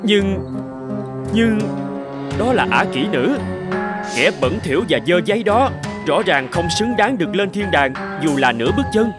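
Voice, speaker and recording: A man's voice: sits high, sing-song, a little slow, over loud music. A man voicing a proud, dutiful angel gatekeeper in a fairy-tale cartoon, formal and self-assured. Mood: indignant. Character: proud, dutiful winged male angel guarding heaven's gate.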